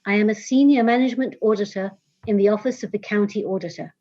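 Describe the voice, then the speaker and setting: A woman with an English accent introduces herself in a measured, formal way. Her voice is mellow and soft-edged. It comes through a dull, lo-fi remote call line. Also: medium pitch. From Scotland, raised in England. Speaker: senior management auditor. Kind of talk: formal self-introduction at a public meeting. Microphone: remote call audio. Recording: dull lo-fi video call audio.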